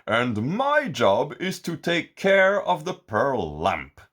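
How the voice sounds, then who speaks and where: A man doing a high, eager sea giant. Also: huge pitch swings, slow, a bit bright, full character voice. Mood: proud, then sad. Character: friendly male sea giant, proud and kind.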